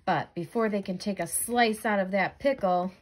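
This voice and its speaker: A woman's voice: medium pitch, normal speed, noisy background. A woman teacher reading a children's comic book aloud in a storytelling style. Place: indoors, book on a tabletop.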